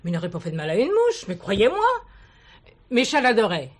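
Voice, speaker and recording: An actress playing a talkative, kindly village shopkeeper who adores cats and gossips in a confiding way in a television play. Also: female voice, medium pitch, huge pitch swings, brisk. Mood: guarded, insinuating. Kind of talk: 1970s French television play dialogue.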